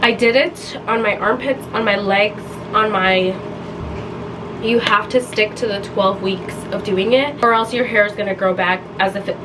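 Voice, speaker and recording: A young woman who is sick and hoarse chats casually to camera in a home vlog, her voice raspy and often sinking toward a near-whisper. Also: mid-range voice, normal speed, background noise. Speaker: lifestyle vlogger. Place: home, indoors.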